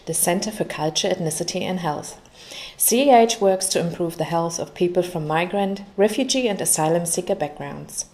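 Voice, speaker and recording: A woman's voice: on the low side, darkish tone. A woman announcer reading scripted promotional narration for a sponsor. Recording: light music bed. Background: faint music.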